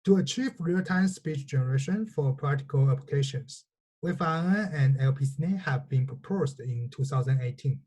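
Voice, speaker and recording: A man's voice: mid-range voice, lively intonation, fairly quick, a little mellow, slightly rough. A man who is a native Chinese speaker narrates a scripted technical lecture in a methodical, formal manner, occasionally stumbling over words. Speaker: researcher presenting a technical lecture.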